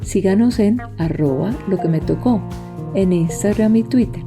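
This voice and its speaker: A woman's voice: mid-range voice, normal speed. A woman, reading scripted podcast narration as host. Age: 60s. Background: guitar music.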